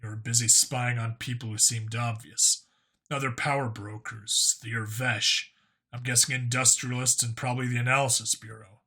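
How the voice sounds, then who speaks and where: A man's voice: mid-range voice, flat delivery, normal speed, bright, forceful tone, husky. A man voicing a wry, skeptical human cop who talks in a dry, casual way in an audiobook story. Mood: dry, probing.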